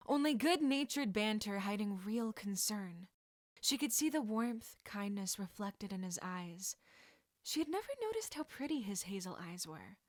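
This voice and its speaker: A woman's voice: mid-range voice, no room sound, clean studio sound. A woman reading a scripted piece of fiction aloud as a narrator. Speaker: podfic narrator.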